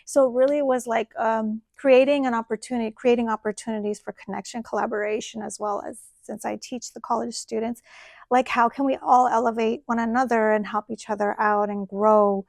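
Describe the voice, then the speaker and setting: A woman's voice: on the high side, fairly even intonation, a little fast, creaky, no echo, studio quality. A woman hosting a podcast in casual conversation, affirming her guest with frequent backchannels. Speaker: podcast host, college teacher. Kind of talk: casual interview chat.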